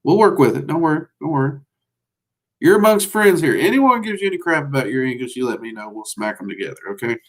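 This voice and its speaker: A man's voice: fairly high pitch, animated, fast. Folksy Southern man rambling on a livestream. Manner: rambling and folksy, frequent fillers. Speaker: livestream host teaching magic. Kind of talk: casual livestream chat, answering viewers.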